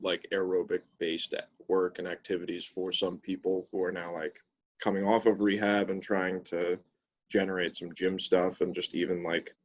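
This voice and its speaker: A man in a casual professional discussion on a conference call, tentatively thinking aloud. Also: low-pitched. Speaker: call participant, fitness or rehab practitioner. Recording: dull, lo-fi call audio.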